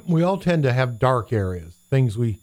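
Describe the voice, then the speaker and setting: A man's voice: mid-range voice, big pitch swings, unhurried, a little mellow. Folksy pastor man, plain-spoken. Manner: folksy, conversational preaching.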